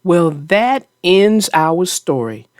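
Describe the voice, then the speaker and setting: A woman's voice: on the low side, big pitch swings, slow pace, a little mellow, no echo, clean studio sound. A woman hosting a children's read-aloud, speaking as a storyteller to young listeners. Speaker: children's storybook narrator and channel host.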